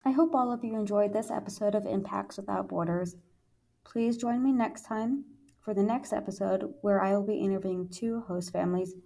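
Woman podcast host, a touch of fry in her voice, friendly and orderly. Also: mid-range voice, moderate pace, dark tone. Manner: friendly, orderly questions and scripted sign-off. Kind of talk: interviewer.

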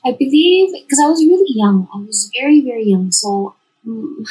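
Very husky woman, halting guest. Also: a little high, very animated, warm tone, low-quality recording. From Dubai-born Filipino. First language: Tagalog. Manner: halting, many restarts and hedges. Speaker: podcast guest. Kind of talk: casual interview chat.

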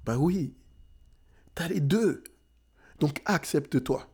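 Slow, rousing young man from France. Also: on the high side, expressive, darkish tone, husky. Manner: emphatic, rousing, mixes English phrases into French. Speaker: motivational speaker. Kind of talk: motivational talk.

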